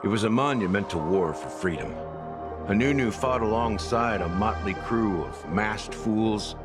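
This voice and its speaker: A man plays a world-worn male officer from a video game. His voice sits deep, and he speaks with solemn deliberation and dramatic pauses, weighed down by old regret. Prominent music plays behind him. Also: natural voice. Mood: solemn, storytelling. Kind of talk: English video game voice acting. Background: loud background music.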